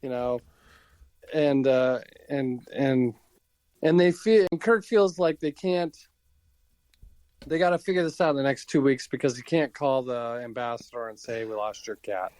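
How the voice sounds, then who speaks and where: A man's voice: mid-range voice, a little slow, darkish tone, no echo. A man co-hosting a recap podcast in loose, conversational style, paraphrasing characters' lines as he chats. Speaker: podcast co-host. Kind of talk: casual recap chat about retro TV sci-fi.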